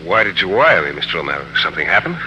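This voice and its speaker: An actor playing a reserved, plainspoken, wary husband in a 1940s radio drama. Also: male voice, low-pitched, very animated, very fast, pushed and bright, dull audio, exaggerated voice. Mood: wary, calm. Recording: humming, noisy 1940s radio recording.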